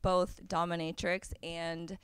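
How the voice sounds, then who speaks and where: A woman's voice: lowish voice, little pitch movement, slow, a bit bright, smooth, no echo. A woman in her thirties, a podcast guest in a hotel room, talking in a blunt, laid-back way and swearing casually. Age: 30s. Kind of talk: casual chat.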